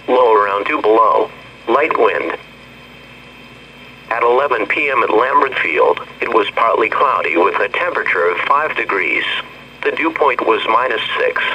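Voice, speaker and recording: A synthetic male voice with an American accent reads a scripted weather forecast as a radio announcer, in formal, clipped phrases. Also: medium pitch. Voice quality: synthetic text-to-speech voice. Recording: dull, noisy weather radio broadcast.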